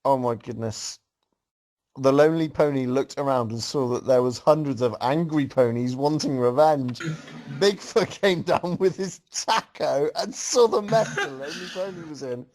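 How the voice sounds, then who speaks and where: A man's voice: medium pitch, moderate pace, a little mellow. A man reading a story aloud to a group as host, reacting casually and often amused as he goes. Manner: amused, breaking into laughter while reading.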